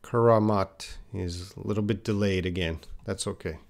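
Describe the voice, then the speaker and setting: A man's voice: sits low, fairly even intonation, normal speed, creaky. A man teaching English in a live online lesson, patiently reading out viewers' answers and correcting them with repeated example phrases.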